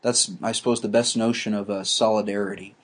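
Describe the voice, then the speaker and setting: A man teaching a class, explaining ideas slowly with frequent restarts and fillers. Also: lowish voice, flat delivery. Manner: halting, many restarts and fillers.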